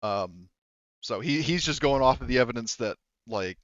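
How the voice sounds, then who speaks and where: A man running a tabletop roleplaying game as game master, explaining casually and haltingly, often repeating and rephrasing himself. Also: medium pitch, expressive, fairly bright tone, no room sound, dull audio.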